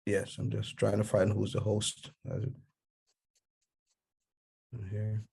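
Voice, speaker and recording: A man's voice: lowish voice, monotone, slow, gravelly, warm tone, husky. A man hosting an online church meeting over a video call, apologetic and stumbling as he helps with screen sharing. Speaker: meeting host.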